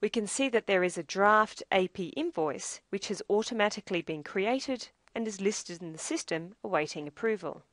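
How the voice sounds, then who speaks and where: A woman narrating a scripted software tutorial as demo presenter, in a measured, explanatory way. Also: medium pitch, animated, dry recording.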